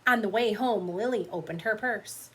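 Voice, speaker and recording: A woman's voice: mid-range voice, moderate pace, breathy edge, low-quality recording. A woman teacher reading a picture book aloud to children, expressively, with explanatory asides to her young listeners.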